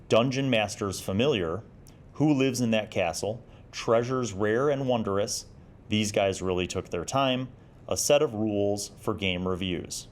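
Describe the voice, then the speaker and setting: A man in his forties giving a casual, nostalgic review in a conversational, halting way. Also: lowish voice. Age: 40s. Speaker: host of a gaming magazine review series.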